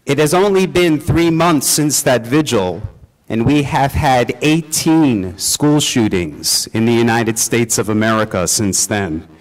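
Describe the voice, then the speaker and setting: A man who is the pastor, welcoming his congregation and introducing a service in a church with an earnest, measured delivery. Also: mid-range voice, expressive, rough recording.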